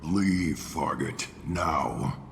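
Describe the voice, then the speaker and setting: Gruff alien enforcer: gravelly, slow, commanding, in an echoey hall. Game role. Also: male voice, rumbling low, lively intonation, heavily acted. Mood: menacing, scornful. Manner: curt, commanding. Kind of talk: video game voice acting. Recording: echoey hall, faint noise.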